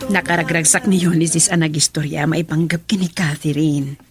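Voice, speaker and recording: A woman's voice: low voice, fairly even intonation, normal speed, faint music behind, close to an everyday voice. An actress playing a caring middle-aged mother in an Ilocano radio drama. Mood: glad, concerned.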